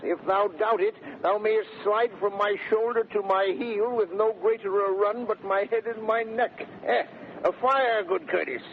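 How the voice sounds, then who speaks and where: An actor playing a half-frozen, bossy, complaining servant in 1930s radio Shakespeare comedy. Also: male voice, sits high, normal speed. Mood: shivering, complaining. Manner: exclamatory, complaining, stuttering with cold. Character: grumbling, half-frozen, bossy male servant back from a journey. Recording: scratchy, noisy, narrow-band 1930s radio recording.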